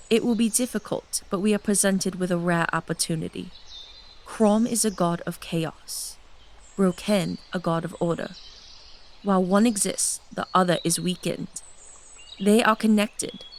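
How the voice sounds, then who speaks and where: A woman's voice: mid-range voice, a little hiss or hum, natural voice. A woman voicing an ancient, seductive and domineering blood-drinking noblewoman in a fantasy audio drama. She speaks in a silky, confident, commanding way. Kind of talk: full cast audio drama acting.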